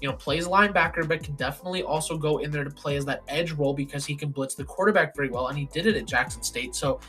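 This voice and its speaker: Hoarse young man talking football picks. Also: on the high side, fast talker, a bit bright, faint music behind. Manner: opinionated, plain-spoken with frequent ums. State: hoarse, voice going raspy. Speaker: football draft analyst. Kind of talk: casual solo commentary.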